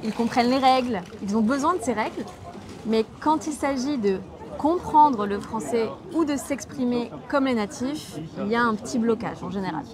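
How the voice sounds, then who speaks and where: A woman in her twenties from Toulouse, a French teacher speaking as a guest in a relaxed interview, explaining in a clear, measured way. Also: on the high side, a crowd in the background. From Toulouse, southwest France. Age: 20s. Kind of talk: casual conversational interview.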